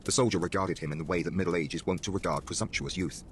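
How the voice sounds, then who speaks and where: Very deep, brisk male story narrator. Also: fairly even intonation, slight background noise. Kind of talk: dramatic scripted horror narration. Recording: music behind the narration.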